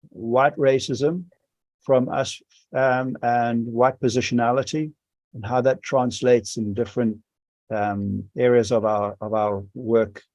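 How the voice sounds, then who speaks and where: A man from South Africa with a South African accent, leading an online workshop, speaking haltingly with many pauses and restarts. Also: fairly low pitch, warm tone, no echo, highs cut off. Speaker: school administrator leading a workshop. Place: home or office on a video call. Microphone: video call microphone.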